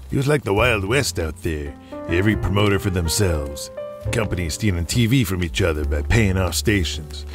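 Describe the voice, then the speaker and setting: A man acts out a resolute old father in an audio drama. He speaks in a low voice, looking back on the past with firm pride. Music plays clearly behind him. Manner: reminiscing, firm. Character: proud, resolute elderly patriarch, wrestling promoter and father.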